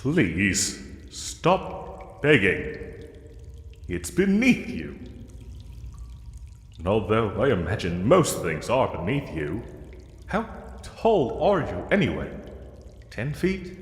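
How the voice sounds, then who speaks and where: In a long, echoing space, a pompous knight acted by a man holds forth in a fantasy roleplay. His formal, old-fashioned speech is slow and swoops theatrically, condescending but with a soft heart beneath the gallantry. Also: lowish voice, wildly swooping pitch, heavily acted. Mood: stern, condescending, then curious. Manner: formal, archaic, grandly theatrical diction. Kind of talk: scripted fantasy audio roleplay. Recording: echoey cave-like reverb, music underneath.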